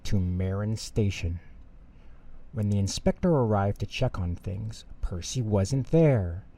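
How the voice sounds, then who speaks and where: A man reading a children's bedtime story aloud as an expressive storyteller. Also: fairly low pitch, takes it slowly.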